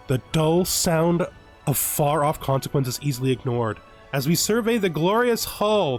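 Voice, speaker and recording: A man hosting a strategy game let's play, giving dramatic readings of the game's text and then breaking into casual asides. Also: fairly high pitch. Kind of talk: game roleplay commentary.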